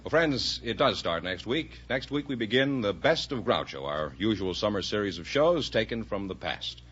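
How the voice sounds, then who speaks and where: An American man works as a quiz show announcer in a bright, forceful voice with lively pitch movement. His delivery is classic 1950s broadcast style, heard on a dull old recording with faint noise. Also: moderate pace, slightly rough. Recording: muffled, noisy vintage broadcast recording.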